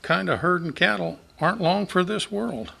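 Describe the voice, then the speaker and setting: An amateur actor playing a cowboy trail boss in a western radio drama. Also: male voice, high voice, moderate pace, vocal fry, bright, forceful tone, heavily acted. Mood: easygoing.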